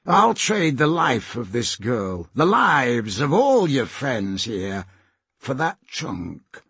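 Man voicing a husky, menacing pirate villain. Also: medium pitch, very animated, slow pace, fairly bright tone, dry recording, exaggerated voice. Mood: bargaining. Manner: taunting, rough ungrammatical speech. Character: menacing, gloating grown male pirate villain with a knife.

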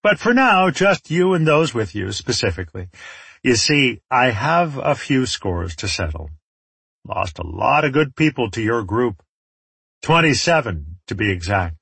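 A man voicing a menacing male warlord in an audiobook, taunting and mockingly genteel in a theatrical, drawn-out way. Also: medium pitch, big pitch swings, creaky, fairly bright tone, breathy edge, dry recording, full character voice.

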